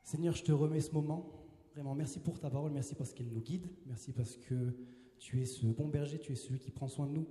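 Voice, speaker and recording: A young man in his twenties preaches to a youth crowd, chatty and playful, often tossing questions back to the audience. His voice sits a bit high and sounds mellow. The hall is big and roomy, with a long reverb trailing each phrase. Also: brisk, slight background noise. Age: 20s. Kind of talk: casual joking sermon to a youth crowd. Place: large hall with a live audience. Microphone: handheld mic.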